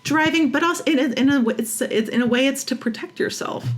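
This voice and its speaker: A woman interviewed as a writer, talking casually and haltingly as she searches for words and corrects herself. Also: sits high, slight background noise.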